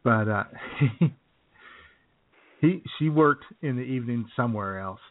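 Easygoing male podcast co-host, mid-pitched, phone-thin audio, laughs often. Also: lively intonation, measured pace, narrow, tinny audio. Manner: laid-back, laughing storyteller. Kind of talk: casual chat.

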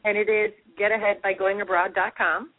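A woman guest being interviewed, answering earnestly and quickly with frequent fillers. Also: mid-range voice, level tone, dry recording. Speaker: author guest. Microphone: phone. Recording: tinny phone line.

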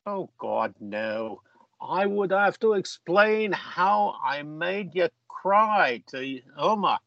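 A man's voice: sits high, expressive, slow pace, gravelly, no echo, dull audio, exaggerated voice. A man playing a joking eldest brother who teases his younger sister. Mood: teasing. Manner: teasing, jokey banter. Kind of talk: amateur audio drama acting.

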